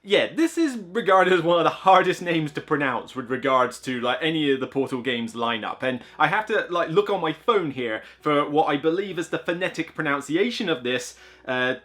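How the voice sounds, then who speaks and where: A man's voice: on the high side, animated, moderate pace, a bit bright. A man reviewing board games in a casual, enthusiastic, rambling way, full of fillers and asides.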